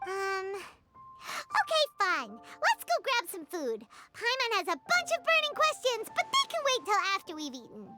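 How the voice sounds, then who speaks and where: A woman voicing a tiny, excitable floating companion who speaks of herself in the third person. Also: very high voice, a little slow, exaggerated voice. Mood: puzzled. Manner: bubbly, talks about herself in third person. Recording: game music behind.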